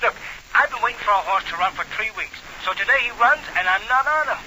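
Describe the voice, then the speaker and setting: High, piercing, eager club flunky. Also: male voice, animated, quick pace, pushed and bright, heavily acted. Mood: grumbling. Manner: slangy, deferential. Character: eager, obliging male nightclub employee. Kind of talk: 1940s radio crime drama acting. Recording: noisy old radio recording.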